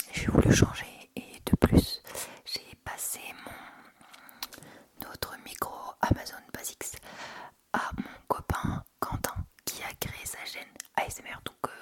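A woman speaking in an unvoiced, breathy whisper, chatting informally and intimately to viewers as an ASMR host, close to a sensitive microphone. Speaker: ASMR video creator and host. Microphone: sensitive Tascam recorder without windscreen, close up.

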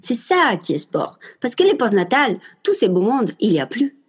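Woman in her 20s, italian accent, kinda husky and high, talks passionately right at you. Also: big pitch swings, moderate pace. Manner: passionate and emphatic, addresses listeners directly. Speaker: mother sharing her home birth story. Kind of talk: casual spoken monologue.

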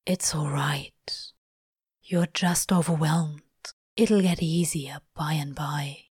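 A woman voicing a gruff, tender, cynical male demon in a slow, hushed murmur, as in an audiobook. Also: lowish voice, dry recording, studio quality. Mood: soothing. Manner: slow, muttering.

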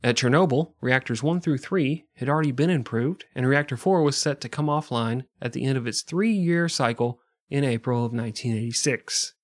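A man's voice: mid-range voice, normal speed, hardly any pauses. Profane, jokey man telling history. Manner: profane, jokey, laughs often. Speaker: podcast host. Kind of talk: comedic history storytelling.

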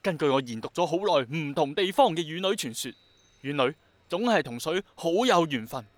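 A man acting an idealistic young Hongkonger in a radio play. His fairly high voice carries a Hong Kong Cantonese accent and a passionate, persuasive earnestness. The sound is very dry, like a studio. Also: lively intonation, moderate pace, no room sound, natural voice. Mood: earnest, excited. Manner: passionate, persuasive, romantic-minded. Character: earnest dreamy young man obsessed with bringing back rain.

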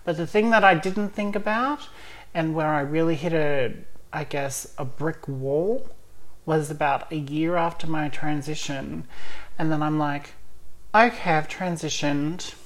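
A woman with a notably low voice talks openly in a relaxed chat. She laughs often between points. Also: unhurried, rough recording. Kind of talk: casual chat.

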